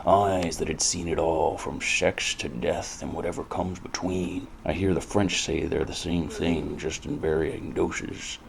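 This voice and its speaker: An American actor playing a world-weary, cynical, hard-drinking detective who narrates in a brooding, deadpan, gravelly noir style. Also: male voice, rumbling low, measured pace, few pauses, noisy background, full character voice. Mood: wistful, brooding. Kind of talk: scripted first-person hardboiled noir narration.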